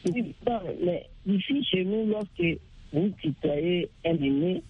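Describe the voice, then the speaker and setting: A man from the Congo calls in to a radio debate over a thin, tinny phone line. His voice is husky and fairly high for a man. He speaks haltingly, often breaking off and restarting his sentences. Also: animated. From Democratic Republic of the Congo. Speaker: caller.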